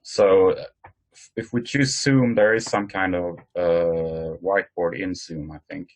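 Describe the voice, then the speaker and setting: Low-voiced man, halting and hesitant. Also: fairly even intonation, rough recording. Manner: hesitant, halting delivery with many pauses. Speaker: meeting host presenting tools. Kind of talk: informal work meeting presentation. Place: online conference call.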